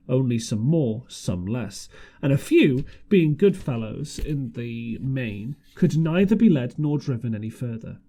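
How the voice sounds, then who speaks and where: Male amateur audiobook reader, highly animated intonation, storybook narration with occasional stumbles. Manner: stumbles over words and rereads phrases.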